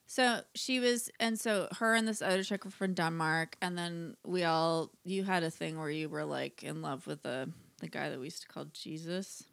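A woman's voice: low voice, fairly even intonation, moderate pace, gravelly, hardly any pauses, fairly bright tone, dry recording. A woman in her thirties co-hosting a podcast, rambling and acting out quotes in casual chat. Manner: chatty, rambling, acts out quotes. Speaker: podcast co-host.

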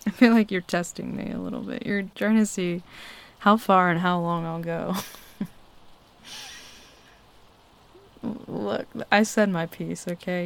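A woman playing a shy, smitten young tomboy roommate in an intimate ASMR roleplay, halting and flustered as she talks. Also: lowish voice, creaky. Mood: nervous, confessing. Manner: halting, hesitant, flustered with nervous chuckles. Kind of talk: ASMR roleplay, intimate one-sided dialogue. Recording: faint rain noise behind close voice. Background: rain falling.

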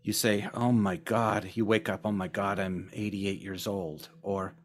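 A man's voice: on the low side, fairly even intonation, measured pace, faint music behind. A man in casual conversation, making his points emphatically and acting out phrases as he goes.

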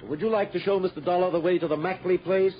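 An actor playing a courteous, smooth-talking middle-aged owner of a rest home in a 1960s radio drama. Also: male voice, high voice, steady tone, quick pace, close to an everyday voice. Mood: calling out. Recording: dull, noisy old radio recording.

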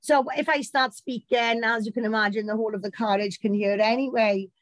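A British woman with a loud voice, a campaign organiser telling stories in casual chat. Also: fairly high pitch, steady tone, a little fast, no room sound. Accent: British English. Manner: loud, chatty storytelling.

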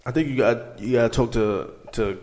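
A man's voice: medium pitch, fairly even intonation, normal speed, darkish tone, a little hiss or hum. A man chatting casually with friends in an easygoing, slangy way, often asking "feel me". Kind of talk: casual group chat.

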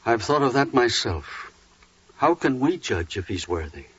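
A man's voice: medium pitch, big pitch swings, a little slow, husky, full character voice. An actor playing a troubled, dutiful older father in a biblical 1940s radio drama, grave and weighty. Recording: muffled 1940s radio recording.

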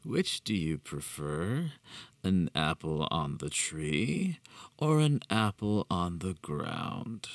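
A man voicing an unhinged, sinister man who speaks in a slow, drawn-out, mocking way. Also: sits low, sing-song, no room sound, full character voice. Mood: menacing. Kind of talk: horror story narration.

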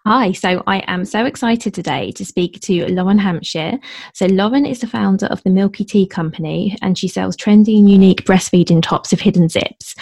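A woman's voice: mid-range voice, vocal fry, darkish tone. A woman hosting a podcast in a casual, enthusiastic interview style. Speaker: podcast host.

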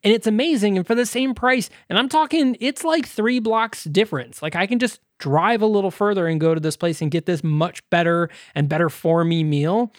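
A man with a fairly high voice chats as a podcast co-host. He is casual, self-deprecating and quick to swear, in a dry recording without room echo. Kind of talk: casual chat.

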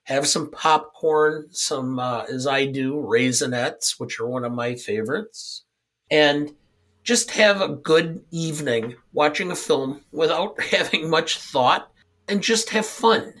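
A middle-aged or older American man chatting casually about films, halting and measured, his voice scratchy from a cold. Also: fairly high pitch, expressive, fairly bright tone. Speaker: podcast co-host. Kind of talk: casual film chat.

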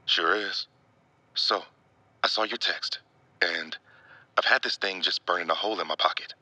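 A man's voice: low voice, steady tone, moderate pace, bright, forceful tone, dry recording. A young man playing an earnest, easygoing friend on a call in an audio drama, talking casually with slang. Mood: matter-of-fact. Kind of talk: naturalistic audio drama acting.

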